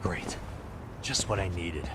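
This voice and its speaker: A cool detective hero from a video game, laconic and wry. The voice is very low and casual, heard over background noise. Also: male voice, takes it slowly. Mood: wry, annoyed. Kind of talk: English video game dub acting.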